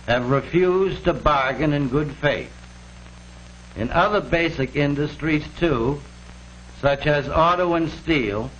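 A man's voice: a little high, fairly bright tone. A man reading scripted 1940s-style labor film narration in a formal, declamatory manner. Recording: noisy old archival film soundtrack.

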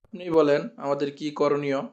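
A man's voice: fairly high pitch, little pitch movement, fairly quick, darkish tone, dull audio, natural voice. A man playing a blunt, outspoken village uncle in a cartoon. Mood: respectful. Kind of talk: cartoon dialogue.

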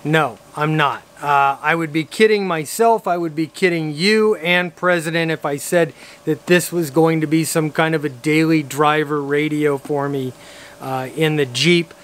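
Casual vlog reviewer: American male, 50s, slightly high-pitched, talking to camera. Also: unhurried, fairly bright tone. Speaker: radio hobbyist vlogger and reviewer. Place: outdoors in a driveway by a vehicle, some parts inside a car.

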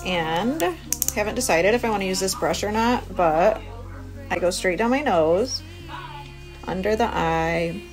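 A woman in her thirties talks casually through a beauty routine in an informal, chatty way. Her pitch is average, and clear music plays behind her. She records on a phone held at arm's length in a small room. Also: unhurried. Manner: chatty, informal, explaining while busy applying makeup. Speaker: beauty vlogger. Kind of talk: casual makeup tutorial chat. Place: small cabin room by a window.

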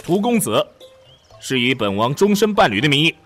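A man's voice: fairly high pitch, big pitch swings, moderate pace, fairly bright tone, faint music behind. A man playing a melodramatic young prince in a Chinese costume audio drama, theatrical and stammering when flustered. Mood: indignant. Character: young lecherous prince, secretly another soul, theatrically grieving and scheming.

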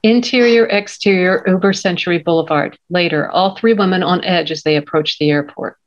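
Low-ish woman narrator, dull sound. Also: fairly quick. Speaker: stage directions reader. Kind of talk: scripted narration. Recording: dull lo-fi video call.

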